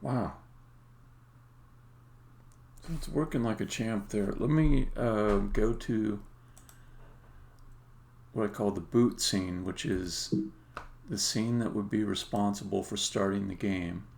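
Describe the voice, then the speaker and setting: Guy live coding on stream, low gravelly voice, kinda mumbling thru his thoughts, bit of noise n key clicks behind. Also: slow, long pauses, darkish tone. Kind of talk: casual live-coding commentary, thinking aloud. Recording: faint background noise with keyboard clicks. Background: computer keyboard typing and clicking.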